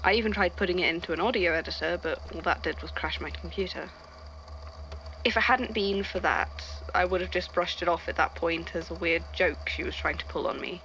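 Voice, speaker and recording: A woman playing a testy male archivist who reads eerie witness statements aloud in an audio drama, in a measured storytelling delivery. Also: fairly low pitch, fairly bright tone, slight background noise, natural voice, rough recording. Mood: reflective, uneasy.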